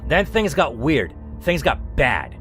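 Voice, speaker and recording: A man reading aloud as a bitter, reclusive male IT worker in a story game, in a resentful, low-key way. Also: wildly swooping pitch, slow, a bit bright, soft background music, heavily acted.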